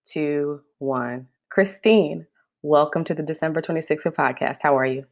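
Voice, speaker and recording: A woman's voice: sits low, moderate pace, gravelly, no room sound. A woman hosting a podcast, interviewing a guest over a video call in an upbeat, welcoming way. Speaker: podcast host. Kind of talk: casual interview.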